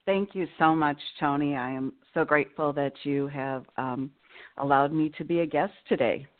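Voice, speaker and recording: A woman from Illinois, calling in as an interview guest and speaking in an earnest, measured way. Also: deep voice. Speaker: guest, nurse. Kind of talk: phone-in interview. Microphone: phone call. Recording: tinny phone line.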